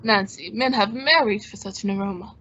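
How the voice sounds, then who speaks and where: A woman's voice: medium pitch, fairly quick, fairly bright tone, slight background noise, natural voice. A woman playing a suave, wisecracking male adventurer-detective in an old-time radio drama, with a wry, composed, teasing manner. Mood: smooth, teasing. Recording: dull, muffled lo-fi sound.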